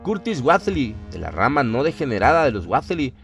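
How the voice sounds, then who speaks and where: A man's voice: mid-range voice, big pitch swings, normal speed, a bit bright, faint music behind. A man narrating a scripted horror story for radio in a dramatic style. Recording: clear ambient noise behind voice. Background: ambient sound effects bed.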